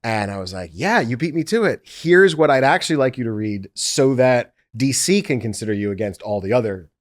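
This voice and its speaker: A man's voice: medium pitch, lively intonation, fairly quick. A man speaking casually as an audiobook producer, recounting his work in a storytelling way and quoting his own thoughts. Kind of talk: casual behind-the-scenes conversation.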